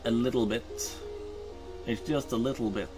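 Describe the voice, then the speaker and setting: A man's voice: mid-range voice, fairly even intonation, normal speed, music in the background. A Norwegian man chatting casually while streaming a game, rambling with many ums and uhs and correcting himself. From Norway. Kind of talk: casual chat while gaming.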